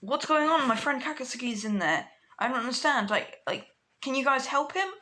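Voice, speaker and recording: A woman's voice: mid-range voice, a little fast, bright, forceful tone. A woman playing an earnest, determined teenage boy hero in an improvised anime story, speaking with urgency. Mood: worried.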